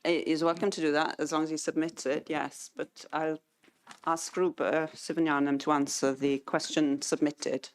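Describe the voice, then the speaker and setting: A man's voice: a little high, level tone, moderate pace, smooth. A man chairing a council meeting as mayor, keeping his remarks short and procedural.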